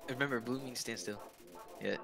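A man's voice: medium pitch, moderate pace, creaky. A man talking in a slangy, joking, profane way as he comments on a friend's gameplay in casual chat. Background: game music.

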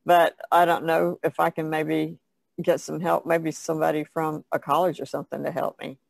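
Very creaky seventy-year-old woman. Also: low voice, level tone, normal speed, darkish tone, dry recording. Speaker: podcast panelist, artist. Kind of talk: casual chat.

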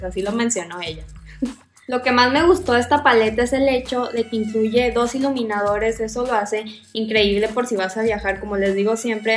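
A young woman with a Mexican accent talks to camera in a casual, enthusiastic way, reviewing makeup as a video host. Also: a little high. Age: young adult. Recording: roomy echo, music behind. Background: music.

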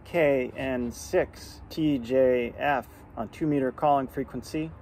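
Middle-aged man, American accent, medium pitch, amateur radio vlogger, outdoor yard recording, background noise. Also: darkish tone. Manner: deliberate spelled-out call signs. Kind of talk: radio calls and casual narration to camera.

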